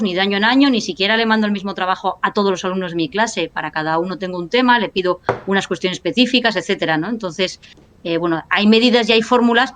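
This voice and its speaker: A woman's voice: medium pitch, fairly bright tone, slight background noise. A woman lecturer and analyst talking in a casual panel discussion, thinking aloud with restarts and tag questions. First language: Spanish. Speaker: university lecturer and analyst guest.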